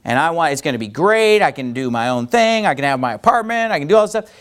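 A male preacher delivering a sermon with a fairly high voice. His pitch leaps around a great deal as he hammers home his points. The delivery is emphatic and animated throughout. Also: fast, smooth.

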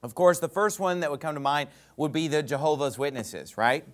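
A pastor preaching an emphatic sermon in a church, asking the congregation rhetorical questions. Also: male voice, on the high side, brisk.

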